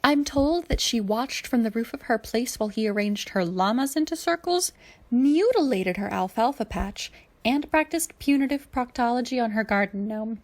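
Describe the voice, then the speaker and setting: A woman's voice: on the high side, expressive, normal speed, pitched above the performer's own voice, close to an everyday voice. A woman performs a good-natured young man in a space adventure story. He talks informally and emphatically, with a grumbling, plaintive streak. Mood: annoyed.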